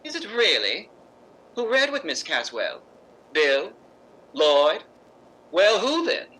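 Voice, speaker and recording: A man playing an imperious, bitter, witty middle-aged stage actress in a theatrical 1950s radio drama style, with sardonic, drawn-out emphasis. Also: a little high, huge pitch swings, sharp tone, heavily acted. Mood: indignant.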